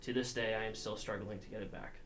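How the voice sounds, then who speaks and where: Flat-toned young man reading aloud. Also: fairly low pitch, fast. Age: young adult. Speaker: student reader. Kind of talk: reading a personal account aloud. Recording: faint background noise.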